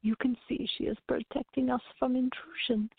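Woman acting a husky, mystical cat-girl. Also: high voice, fairly even intonation, moderate pace, no room sound, exaggerated voice. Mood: mystical, coaxing. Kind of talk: farce radio play acting. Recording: muffled, phone-like narrow-band audio.

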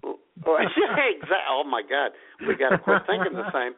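A man with a husky, gravelly voice co-hosts an internet radio show in casual banter. His delivery is joking and irreverent, and the sound is thin and phone-like. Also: a little high, sing-song, moderate pace.

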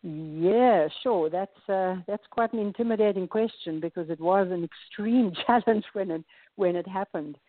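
A woman's voice: medium pitch, normal speed, dry recording. A woman in her forties with a South African accent, a wellness coach guest telling her story in a casual interview with small laughs. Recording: dry tinny phone line.

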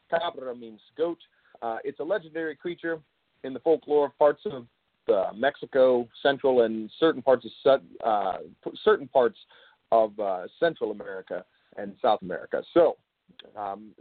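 Male host, native English speaker, halting informal explainer, phone-like and roomy sound. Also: mid-range voice. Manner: halting, frequent filler pauses. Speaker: show host. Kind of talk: informal solo explainer monologue. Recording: roomy, phone-like tinny line.

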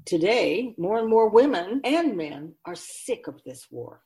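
A woman's voice: mid-range voice, sing-song, unhurried, warm tone. A woman playing a wise, grave otherworldly keeper of souls in a radio drama, speaking in an oracular, instructive and emphatic way.

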